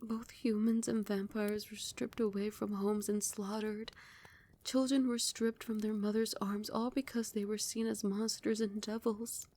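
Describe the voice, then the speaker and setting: Woman acting as a doting vampire queen, soft breathy asmr, kinda creaky mellow voice, seductive and in charge. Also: medium pitch, flat delivery. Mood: somber, hushed. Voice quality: soft, breathy, often whispered. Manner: slow, soothing, cooing endearments. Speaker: ASMR roleplay voice actor. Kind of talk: soft whispered ASMR roleplay.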